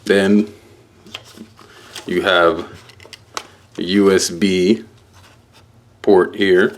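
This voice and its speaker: A man giving a casual, halting unboxing walkthrough as a product reviewer, explaining things piece by piece. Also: deep voice, little pitch movement, slight background noise.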